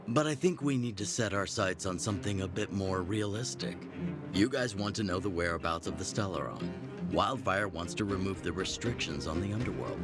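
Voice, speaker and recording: An actor playing a gruff veteran rebel chief in a video game, measured and authoritative, with game music behind. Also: male voice, low-pitched. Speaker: underground resistance chief.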